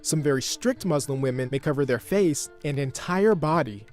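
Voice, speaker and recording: A man narrates a news feature in a measured, informative voice. His pitch sits a little higher than most men's. Soft music plays underneath him. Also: lively intonation.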